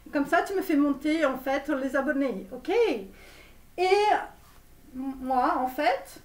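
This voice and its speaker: A high-voiced, pushy fortune teller with a Romanian accent, put on by a woman, in a parody online tarot reading. She repeats herself insistently and theatrically, falsely reassuring, heard from a distance in an echoey room. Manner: repetitive, halting, theatrical, insistent. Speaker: online tarot reader hosting a channel. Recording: roomy echo, distant microphone.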